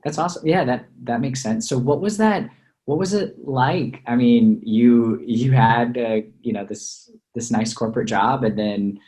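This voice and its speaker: A man's voice: medium pitch, unhurried, a little mellow. A man hosting a podcast interview, talking in an upbeat, enthusiastic way. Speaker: podcast host.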